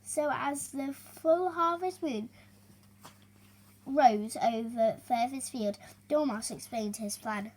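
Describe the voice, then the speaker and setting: Child female reader, high voice, slow pace, expressive storybook delivery with halting stumbles. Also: slight background noise. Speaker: young reader hosting a story video. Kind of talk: reading a children's storybook aloud, with chatty asides.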